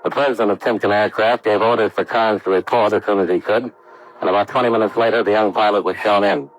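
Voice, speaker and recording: A man's voice: fairly low pitch, fairly even intonation, quick pace, faint music behind, natural voice. An actor playing a hard-boiled American insurance investigator, narrating his case in clipped, matter-of-fact 1950s radio drama style.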